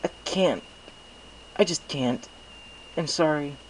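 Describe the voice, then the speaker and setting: A man's voice: a little high, slow pace, a little hiss or hum, pitched above the performer's own voice, rough recording. A man voicing a shy, self-doubting winged stallion in a storybook reading, hesitant and meek. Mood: panicked. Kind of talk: acted audiobook character dialogue.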